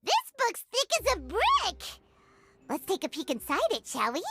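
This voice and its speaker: A woman voicing a small, excitable fairy companion in a video game, with a squeaky childlike voice and chirpy, exclamatory delivery. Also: normal speed, slight background noise, heavily acted. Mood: excited, curious. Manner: chirpy, exclamatory, refers to self in third person. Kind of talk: video game character dialogue.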